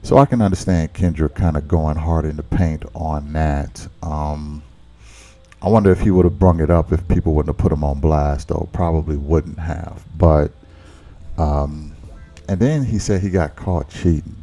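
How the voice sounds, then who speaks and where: A man's voice: a bass voice, measured pace, mellow. A man hosting a reaction channel, giving blunt, casual commentary on a relationship clip and often repeating words as he thinks.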